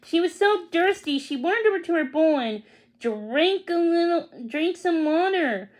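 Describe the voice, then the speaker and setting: A woman with a high voice reads a children's story aloud. She takes her time, and her pitch moves around in a lively way. Her reading is halting, with small stumbles and repeated words. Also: low-quality recording. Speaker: read-along reader.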